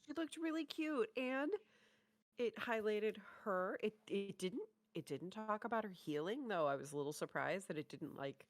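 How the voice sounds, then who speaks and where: A woman's voice: medium pitch, lively intonation, normal speed. A woman co-hosting a reaction show, chatting in a gushing, informal way. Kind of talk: casual chat, reacting and ranking. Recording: dry close voice, music behind.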